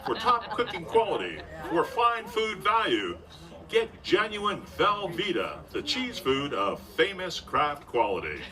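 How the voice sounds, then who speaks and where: An actor playing a polished, upbeat Golden Age radio announcer, delivering scripted narration and sponsor copy. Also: male voice, high voice, wildly swooping pitch, a little slow, over a noisy crowd, heavily acted. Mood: upbeat, selling. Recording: distant stage mic, faint noise.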